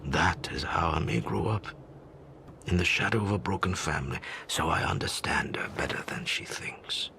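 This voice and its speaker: Very deep, gravelly whispering old man. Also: sharp tone, exaggerated voice. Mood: weary sorrow. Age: older. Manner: slow, somber storytelling. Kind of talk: video game cutscene monologue.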